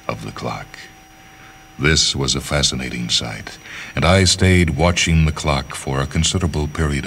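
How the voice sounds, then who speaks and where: A man narrating literary fiction as a dramatic reading, in a measured, deliberate manner with pauses. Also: a bass voice. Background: music.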